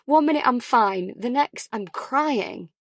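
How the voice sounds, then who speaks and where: An overwhelmed young mother, acted out by a woman narrator, anxious and close to tears. She spills her worries in a hurried, emotional way, like a character in an audiobook, in a close, dry recording. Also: fairly high pitch, animated, no room sound, pitched above the performer's own voice. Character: anxious, tearful young first-time mother, overwhelmed and defensive.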